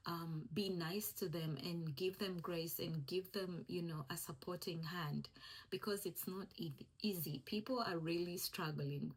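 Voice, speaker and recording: A woman's voice: lowish voice, husky, rough recording. A young woman from East Africa, a Swahili speaker, giving an encouraging faith-based vlog talk in a conversational way that repeats its key phrases. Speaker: vlogger.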